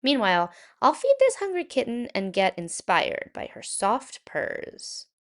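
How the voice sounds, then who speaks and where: Woman voicing storybook painter man, earnest, worried, expressive, swooping intonation. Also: a little high, unhurried, pitched above the performer's own voice, exaggerated voice. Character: earnest, worried male mural painter, easily inspired. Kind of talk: children's picture book read-aloud.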